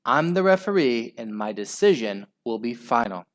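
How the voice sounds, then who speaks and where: A man voicing a stern, strict female schoolteacher in a children's story, speaking slowly and sharply. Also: mid-range voice, big pitch swings, close to an everyday voice. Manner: snapping, stern.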